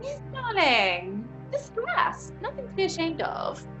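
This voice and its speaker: A placating theatre actress in a horror radio play. The high voice swings widely in a theatrical, soothing way, over soft music. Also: female voice, huge pitch swings, a little slow, full character voice. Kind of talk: audio horror drama acting.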